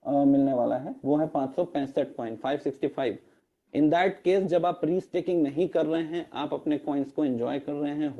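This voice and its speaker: A man with a hoarse throat presenting in an online meeting, explaining step by step and slipping English terms into his talk, with fillers. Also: a little high, highs cut off. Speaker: network marketing presenter. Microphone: video call.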